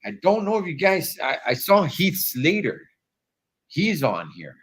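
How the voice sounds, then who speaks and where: A man's voice: fairly high pitch, huge pitch swings, a little slow, fairly bright tone, husky. A man with a stuffy nose from allergies, hosting a casual wrestling livestream from a hotel room in laid-back slangy talk. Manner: laid-back slangy talk, calls viewers dog and Holmes.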